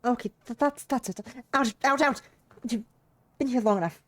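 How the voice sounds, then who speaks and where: A woman's voice: a little high, moderate pace, no echo, voice pushed up, natural voice. A woman voicing an easily embarrassed young woman who stammers when flustered.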